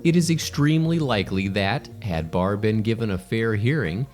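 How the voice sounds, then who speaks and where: A man's voice: lowish voice, moderate pace, a little mellow. A man reading scripted news narration as a newsreader. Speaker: news reader. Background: background music.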